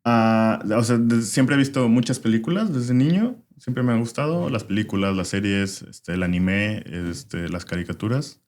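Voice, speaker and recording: A man's voice: medium pitch, moderate pace, hardly any pauses. A young man from Venezuela, a podcast guest chatting casually about film with many "este" fillers. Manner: relaxed, frequent "este" fillers. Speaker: podcast guest, former filmmaker. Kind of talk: casual interview chat.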